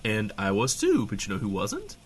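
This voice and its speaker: A man playing a glib, excitable small-town radio host in scripted comedy banter. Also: fairly low pitch, normal speed, a little hiss or hum. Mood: explaining, flippant.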